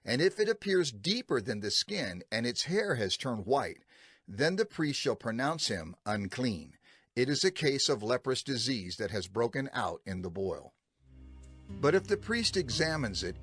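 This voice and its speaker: A man reading scripture as a scripted audio Bible narrator, solemn and measured, with emphatic phrasing. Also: medium pitch. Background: background music.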